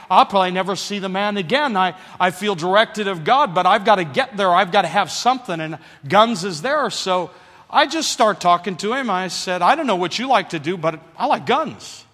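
A man's voice: high-pitched, big-room sound. A man preaching a sermon, telling a story and calling on the congregation to answer him. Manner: animated, calls for congregation response.

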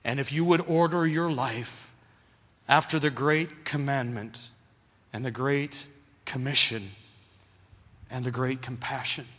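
A man's voice: mid-range voice, takes it slowly, long pauses, big-room sound. A man preaching a sermon, telling a story and calling on the congregation to answer him. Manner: animated, calls for congregation response.

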